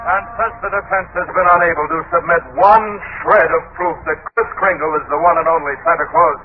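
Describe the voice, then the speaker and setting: A man's voice: a little high, fairly even intonation, brisk, music in the background, lightly acted. A man playing an indignant, formal state prosecutor in old-time radio drama, pompous and declamatory. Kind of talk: 1940s radio acting. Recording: thin, phone-like old radio recording with hiss.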